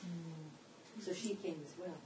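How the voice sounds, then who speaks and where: A woman with a slightly low voice speaks slowly in brief, attentive responses and exclamations during a casual chat. The room is echoey and noisy. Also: fairly even intonation, distant mic. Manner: short exclamations and murmured responses.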